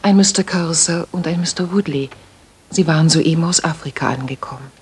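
A woman's voice: deep voice, fairly quick, a little mellow, breathy edge. An actress playing a worried young lady music teacher in a German radio play, speaking politely, earnestly and formally. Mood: recounting, excited.

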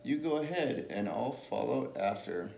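A man's voice: mid-range voice, expressive, a little slow, creaky, dark tone. A man voicing a big storybook monster who is simple, gullible and easily amazed. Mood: amused. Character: big male monster, scary-looking but easily amazed. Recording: echoey room with faint noise.

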